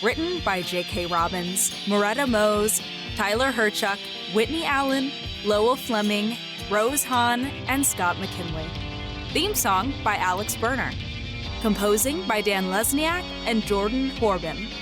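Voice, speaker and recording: A female announcer reads podcast credits from a script. Her voice sits at an average pitch. Plucked guitar music plays clearly behind her. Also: animated, unhurried.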